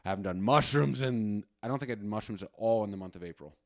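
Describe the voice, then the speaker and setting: Male podcast host, late 30s, low voice, dry sound. Informal, sweary, unscripted rambling. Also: quick pace, dry recording. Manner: profane, slangy, informal. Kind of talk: casual rambling solo chat.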